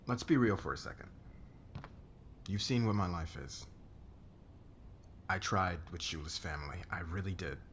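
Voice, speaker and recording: A man playing a demanding, defensive boss with a hot temper and a hidden crush, halting and intense, in an ASMR romance roleplay. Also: deep voice, expressive, a bit bright, a little hiss or hum. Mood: pushy, insistent.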